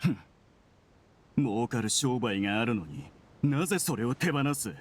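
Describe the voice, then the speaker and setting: An actor playing a bitter, sneering researcher turned criminal, sardonic and argumentative, in an anime-style Japanese game. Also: male voice, medium pitch, lively intonation, a little slow. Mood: bitter, defiant.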